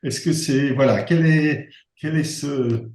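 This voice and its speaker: A man moderating a live panel, asking polite questions briskly. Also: on the high side, a little mellow. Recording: roomy echo from the venue.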